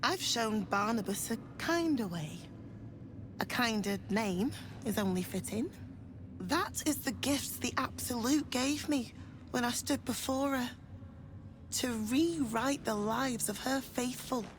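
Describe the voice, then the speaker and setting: A high-voiced, sweet-talking cultist in a game, cooing pet names with honeyed menace. She speaks slowly over clear ambient game noise. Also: lively intonation.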